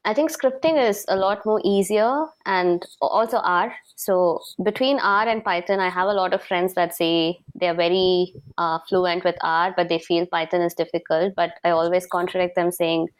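A woman's voice: on the low side, steady tone, moderate pace, hardly any pauses, clear, resonant tone. A young woman from South India, an interview guest, explaining things earnestly in a casual chat with plenty of fillers.

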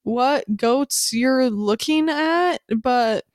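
Stuffy-nosed woman, smooth clear voice. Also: high-pitched, very slow, no echo, clean studio sound. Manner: bubbly, says "like" a lot. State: stuffy nose from allergies. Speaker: podcast co-host. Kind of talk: casual chat.

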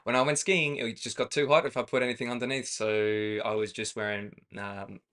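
This is a young man with an Australian accent, chatting casually as a podcast co-host. He rambles and corrects himself often, and he laughs easily. His voice sits at an ordinary pitch. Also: fairly even intonation, brisk, fairly bright tone. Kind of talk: casual chat.

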